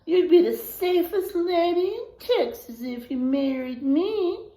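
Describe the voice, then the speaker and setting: A woman's voice: extremely high pitch, expressive, takes it slowly, mellow, reverberant, voice pushed up, exaggerated voice, rough recording. A woman voicing a sly, lying male outlaw sheriff in a children's storybook, with an emphatic, wheedling manner. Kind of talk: children's storybook read-aloud.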